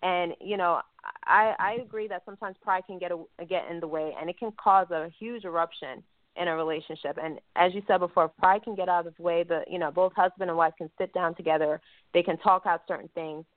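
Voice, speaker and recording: A woman evangelist hosting a talk show interview, speaking softly and filling her sentences with "you know". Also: lowish voice, steady tone, fairly quick, dry recording. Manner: soft-spoken, many "you know" fillers. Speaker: host and evangelist. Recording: dry, phone-like line.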